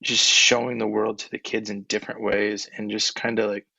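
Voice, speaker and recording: A young man, an ed tech coach, answering interview questions casually in a monotone voice, with many fillers. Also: fairly low pitch, moderate pace, gravelly, no room sound, dull audio. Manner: relaxed, filler-heavy, laughs between thoughts.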